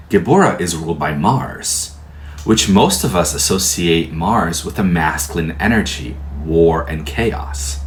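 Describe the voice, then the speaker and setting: A man whose first language is Portuguese, calmly explaining tarot in a measured, soft-spoken way. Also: deep voice. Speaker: tarot teacher. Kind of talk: calm explanatory lecture.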